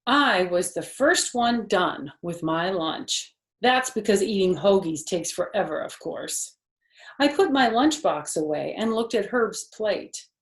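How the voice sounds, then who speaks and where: A mature woman reads a children's story as a grandmother would at storytime, expressive and with casual asides. Her pitch is average. The recording has a clear indoor room echo. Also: measured pace. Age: middle-aged or older. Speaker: grandmother reading stories. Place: indoor living room on a sofa.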